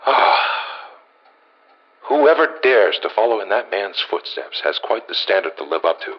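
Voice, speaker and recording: A man plays a gruff senior scientist with a lowish voice, dictating a video game audio log. He is formal but musing and dryly humorous, heard through a dull, radio-like recorder. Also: sing-song, unhurried, slight background noise. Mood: awed, admiring. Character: gruff, wry male senior scientist, Doctor Masterson.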